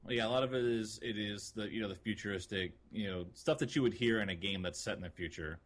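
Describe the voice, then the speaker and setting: Low-voiced man co-hosting a podcast. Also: fast talker. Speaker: podcast co-host. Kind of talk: casual gaming podcast chat.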